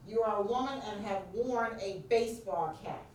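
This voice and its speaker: Deep-voiced New York woman leading a workshop. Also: normal speed, a little mellow. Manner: engaging, prompts the audience directly. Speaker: workshop presenter. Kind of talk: interactive workshop talk. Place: church meeting room. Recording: very echoey room, distant mic, faint noise.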